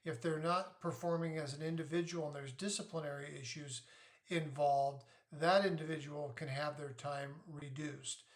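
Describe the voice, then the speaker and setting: A middle-aged man giving an informal explainer as a parent sharing advice, deliberate and methodical in how he lays things out. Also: a little high, fairly even intonation. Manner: deliberate, methodical, poses questions then answers them.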